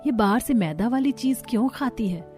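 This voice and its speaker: A woman's voice: on the high side, lively intonation, normal speed, mellow, faint music behind, lightly acted. A woman voicing a meek, hurt, poor elderly mother in a cartoon story, pleading and plaintive. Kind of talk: Hindi cartoon story dialogue.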